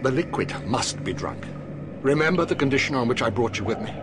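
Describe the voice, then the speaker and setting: An older actor playing a grave, commanding elderly wizard headmaster in a video game, speaking solemnly. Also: male voice, mid-range voice, expressive, background noise, lightly acted. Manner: solemn, measured.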